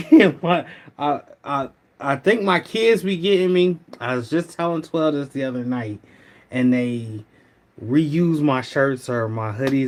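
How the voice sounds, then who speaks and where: Middle-aged man, livestream host, slightly hoarse, relaxed and rambling, chats with viewers. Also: medium pitch. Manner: laid-back, rambling, talks to viewers. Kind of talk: casual livestream chat.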